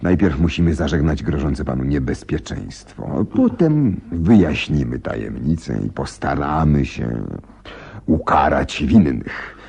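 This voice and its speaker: A deep, dark-voiced detective for radio drama, commanding and deliberate. His intonation swings widely as he instructs and thinks aloud, often doubling his phrases. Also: big pitch swings, gravelly, slightly rough, exaggerated voice. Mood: urgent, instructing. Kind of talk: Polish radio drama acting.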